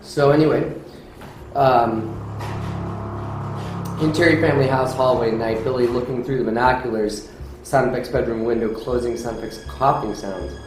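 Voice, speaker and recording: A man's voice: mid-range voice, steady tone, moderate pace, long pauses. Man at a table read, very echoey. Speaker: filmmaker leading a table read. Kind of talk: reading screenplay directions, casual discussion. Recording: very echoey room, loud music behind.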